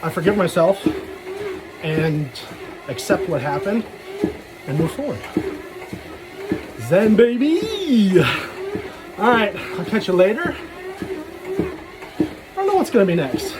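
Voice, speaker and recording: A man's voice: sits high, background noise. A man giving a casual, rambling solo vlog monologue in frank, slangy, sweary talk. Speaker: solo vlogger.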